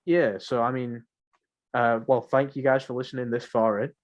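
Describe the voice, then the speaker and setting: A man's voice: mid-range voice, level tone, normal speed. A young man co-hosting a podcast in casual, rambling, informal chat. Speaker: podcast co-host. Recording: muffled lo-fi home recording.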